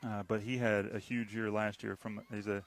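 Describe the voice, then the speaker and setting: A man calling a high school football game on local radio, chatty and rambling, teasing his broadcast partner. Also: level tone, moderate pace, noisy background. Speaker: play-by-play announcer.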